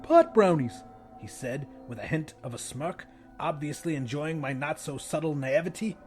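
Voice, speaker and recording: A man's voice: on the high side, normal speed, faint music behind. A man narrating first-person fiction as a dramatic audiobook reader.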